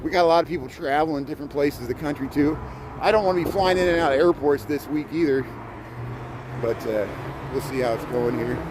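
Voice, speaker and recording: Man, middle-aged, Chicago-Midwestern accent, rambling live-stream chat, outdoors, phone mic, steady traffic noise. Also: on the high side, brisk. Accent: Chicago-Midwestern mix. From Indiana. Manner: chatty, repeats words, greets viewers by name. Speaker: livestream host. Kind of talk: live walking tour chat. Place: outdoors on snowy city streets. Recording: street noise behind. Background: traffic and city noise.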